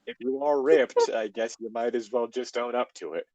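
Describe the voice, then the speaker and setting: A man's voice: mid-range voice, normal speed, mellow, no room sound. A man from Texas co-hosting a casual story reading, joking, teasing and swearing. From Texas, United States. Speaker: co-host reacting to a story.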